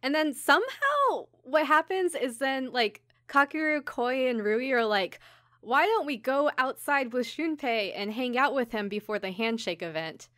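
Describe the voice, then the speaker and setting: A woman's voice: high voice, lively intonation, unhurried, fairly bright tone, dry recording, clean studio sound. A woman podcast co-host telling a story in casual chat, informally, with lots of "like" and quoted asides. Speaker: podcast co-host recapping an anime episode. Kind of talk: casual chat, episode recap.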